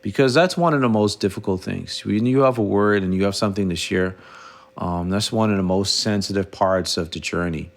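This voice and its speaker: A middle-aged man from the Bahamas hosting a reflective solo podcast, talking earnestly and haltingly, with frequent restarts. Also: lowish voice. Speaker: podcast host.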